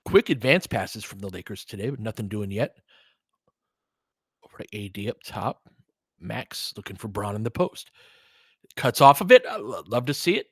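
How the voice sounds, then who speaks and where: A man's voice: fairly low pitch, expressive, normal speed, pauses often, husky, no room sound. A man doing casual basketball play-by-play as a stream host, in clipped phrases with chatty asides to listeners.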